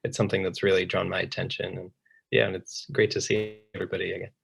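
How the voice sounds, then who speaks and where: A man in his twenties, a third-year medical student, introducing himself in a laid-back way with many pauses. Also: deep voice, flat delivery, creaky, a bit bright, breathy edge. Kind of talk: self-introduction on video call.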